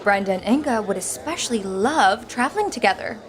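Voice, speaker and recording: A woman's voice: a little high, lively intonation, fairly quick, faint music behind, natural voice. A woman playing a quirky, coy character who poses as an oddball in a sitcom podcast, mock-serious in manner. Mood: playful, mock-serious. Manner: mock-serious, speaks in third person. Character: quirky, coy woman posing as odd Inga.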